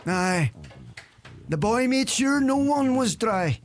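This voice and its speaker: A man's voice: very high-pitched, expressive, slow pace, a little hiss or hum, exaggerated voice. A man playing a grumbling, foolish old uncle in a comic radio play, with a Donegal Irish accent. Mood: gossipy.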